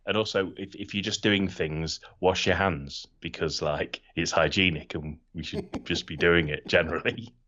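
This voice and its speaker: A man's voice: sits low, fairly even intonation, normal speed, few pauses, sharp tone, lo-fi sound. A man talking casually as a panelist on a podcast.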